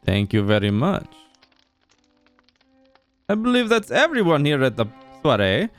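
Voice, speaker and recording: A man acts out a suave vampire lord from a game roleplay. His voice is clean and smooth, swinging widely in pitch over background music. He is formal, courteous and deliberate. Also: wildly swooping pitch, full character voice. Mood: probing, controlled. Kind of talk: Minecraft roleplay acting.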